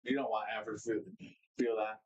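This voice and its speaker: A man's voice: lowish voice, flat delivery, brisk, breathy edge, dull audio. Playful slangy man co-hosting a podcast. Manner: jumpy, playful, slangy, leads the questions. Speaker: podcast co-host. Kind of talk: casual chat.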